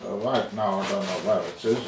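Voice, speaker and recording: A man's voice: fairly low pitch, fairly even intonation, a little fast. A man giving a casual, unscripted hands-on explanation as a DIY vlogger, rambling and thinking aloud as he goes. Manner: rambling, thinking aloud, asks himself questions. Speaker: DIY vlogger presenting his project. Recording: roomy, noisy, distant mic with clicks.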